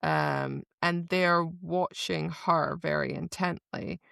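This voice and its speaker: A woman with a fairly low, creaky voice co-hosts a casual book podcast. She retells scenes expressively and informally, acting out bits of dialogue. The sound is very dry. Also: steady tone, slow, a bit bright, dry recording. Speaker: podcast co-host.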